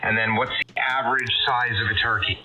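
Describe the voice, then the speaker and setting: A man's voice: mid-range voice, level tone, brisk. A man chatting casually with a group over amateur radio. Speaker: amateur radio net participant. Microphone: radio transmission. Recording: dull band-limited radio signal, clear hiss.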